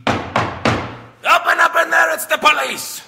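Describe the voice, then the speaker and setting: An actor playing a brash, commanding police chief barking blunt orders in 1940s radio drama style. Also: male voice, very high voice, steady tone, slow pace, creaky, pushed and bright, soft background music, heavily acted. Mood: shouting orders. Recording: live stage, long hall reverb.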